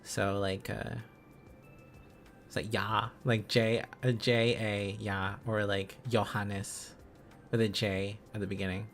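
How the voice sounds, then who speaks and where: A man's voice: fairly low pitch, flat delivery, takes it slowly, a bit bright, faint music behind. A man live-streaming a casual lesson, thinking aloud in a hesitant, rambling, playful way.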